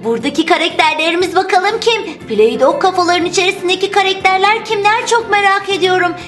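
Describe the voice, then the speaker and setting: A woman's voice: extremely high pitch, a little fast, a bit bright. A woman narrating a playful children's toy video. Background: music.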